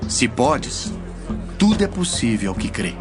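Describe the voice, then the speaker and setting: Solemn authoritative teacher in a bible audio drama, brazilian accent, measured, music behind. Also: male voice, mid-range voice, big pitch swings. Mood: calm questioning. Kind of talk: dramatized scripture audio acting.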